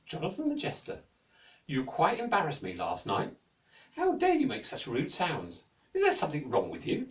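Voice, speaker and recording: A man putting on a shrill, imperious queen. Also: wildly swooping pitch, moderate pace. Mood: stern. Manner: shrill, indignant, commanding. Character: haughty imperious queen, easily offended. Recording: roomy, distant mic.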